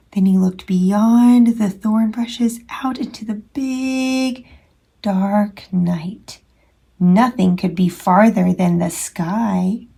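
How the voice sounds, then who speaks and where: A woman reading a children's storybook aloud as a storytime host, expressive and unhurried, as if to young children. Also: medium pitch, vocal fry, slightly rough.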